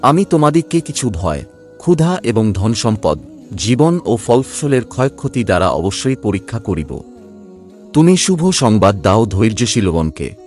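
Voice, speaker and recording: A man narrating a scripture translation in a formal, solemn scripted reading with measured phrasing. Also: on the low side, big pitch swings, darkish tone, faint music behind.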